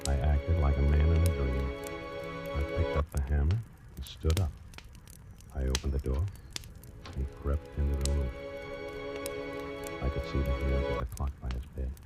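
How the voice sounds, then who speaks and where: Desperate plotter confessing in halting suspense, very deep voice, 1940s radio thriller with heavy music underneath. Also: male voice, flat delivery. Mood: dazed, tense. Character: tense, desperate man plotting a murder in first person. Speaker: first-person narrator and lead actor. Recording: old radio recording, loud dramatic music.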